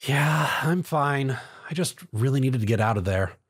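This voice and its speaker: A man's voice: mid-range voice, animated, normal speed, husky. A man voicing a wary, casual young male hero with a dry manner in a story.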